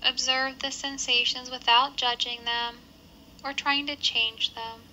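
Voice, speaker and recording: A woman leading a guided body scan meditation with measured, gentle instructions and pauses between phrases. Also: high-pitched, level tone, slight background noise, low-quality recording.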